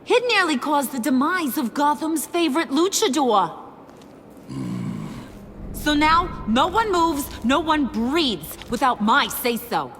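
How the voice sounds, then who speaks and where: Shrill, theatrical gangster villainess in reverberant hall. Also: female voice, lively intonation, slow pace, fairly bright tone, full character voice. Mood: threatening. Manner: taunting, theatrical, slangy. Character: theatrical, menacing female villain gang boss, gleefully cruel. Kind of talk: animated game villain acting. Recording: long reverb, background noise.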